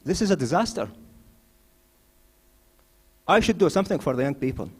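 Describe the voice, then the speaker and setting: Man from Iraq, election candidate, fairly high voice, forceful campaign speech, many fillers. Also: very animated, normal speed, breathy edge. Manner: forceful, rising to emphatic declarations, frequent "uh" fillers. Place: mosque hall.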